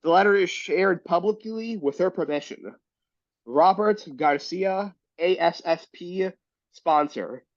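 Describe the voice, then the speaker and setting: A man with a raspy voice from being sick, stumbling and restarting as he reads aloud and discusses. His pitch sits a little high. The audio is dry and dull. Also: dry recording. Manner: halting, many restarts. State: sick, coughing. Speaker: reading group member. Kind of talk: casual discussion and reading aloud.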